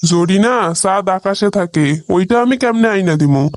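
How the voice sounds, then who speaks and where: A man's voice: high voice, animated, normal speed, darkish tone, no room sound. A man with a Bangladeshi accent playing an exasperated village husband who protests and reasons, in a comic cartoon. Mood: puzzled, reasoning.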